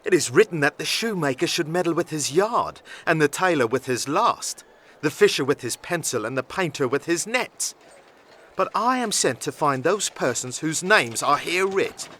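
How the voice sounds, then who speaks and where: An actor playing a bewildered comic servant in a Shakespearean audio drama, muttering muddled prose to himself. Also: male voice, fairly high pitch, big pitch swings, moderate pace, bright, forceful tone, full character voice. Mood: muddled, perplexed.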